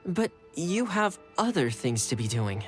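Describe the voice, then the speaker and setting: Casting: friendly young housekeeper-retainer for a game, lively swooping intonation, casual, loyal, faint music. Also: male voice, a little high, a little slow. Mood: earnest, puzzled. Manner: casual, expressive, helpful. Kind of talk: video game character acting.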